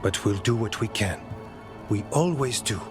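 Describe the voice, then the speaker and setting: A man's voice: fairly low pitch, lively intonation, normal speed, over music, natural voice. A man playing a dour, dutiful warden fighter in a fantasy video game. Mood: dry, dutiful.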